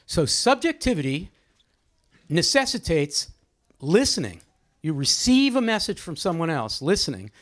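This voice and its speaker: Male discussion leader, high register, swooping intonation, encouraging and inquisitive, live group setting. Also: a little slow. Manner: animated, questioning, encouraging. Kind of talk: lively seminar-style poetry discussion. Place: live group event with audience microphones.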